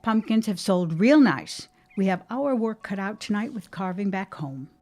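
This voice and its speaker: A woman's voice: mid-range voice, expressive, moderate pace, darkish tone, soft background music, close to an everyday voice. An actress playing a fond, nosy middle-aged shopkeeper mother in a period audio drama, chatty and folksy. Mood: nosy, teasing.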